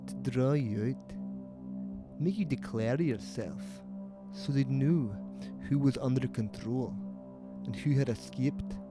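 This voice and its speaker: Guy playing creepy calm police chief in horror audio drama, slow measured ominous talk, mid pitch. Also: close to an everyday voice. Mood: coolly sinister. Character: calm, sinister male police commissioner. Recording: music behind the voice.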